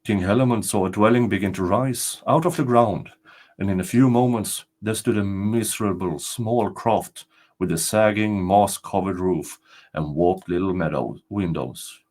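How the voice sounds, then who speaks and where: A man's voice: on the low side, normal speed, darkish tone. An older man narrating a folktale as a storyteller, reading from a written text. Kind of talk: scripted folktale narration, read aloud.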